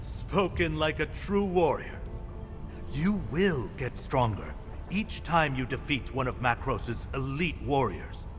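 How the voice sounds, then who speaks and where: An actor playing a loyal, earnest comrade and advisor to a warrior in a game cutscene, encouraging in manner. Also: male voice, medium pitch, very animated, takes it slowly. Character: loyal, grateful male war comrade and advisor. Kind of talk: dramatic game cutscene acting. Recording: long hall reverb, music behind.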